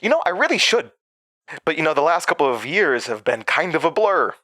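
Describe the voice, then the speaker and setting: An actor in an audio drama playing a mysterious, volatile male entity living inside someone's head, speaking in a halting, cryptic way. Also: a little high, sing-song, pushed and bright, no room sound, clean studio sound. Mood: frustrated. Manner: halting, cryptic, swinging from muttering to outbursts. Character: fragmented mysterious male entity implanted in another's mind, volatile. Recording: music behind.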